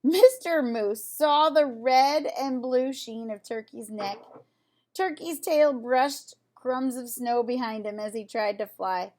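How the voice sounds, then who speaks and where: A woman reading a children's storybook aloud in an expressive, deliberate way. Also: fairly high pitch. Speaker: storybook reader. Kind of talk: children's read-aloud narration with brief asides.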